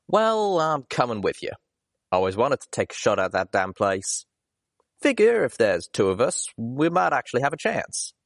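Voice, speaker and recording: A man's voice: mid-range voice, sing-song, normal speed, gravelly, dry recording. A loyal cowboy pony companion from a storybook , voiced by a man, folksy and teasing. The country talk rises and falls freely, recorded dry and close. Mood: determined. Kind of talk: character voice in a storybook reading.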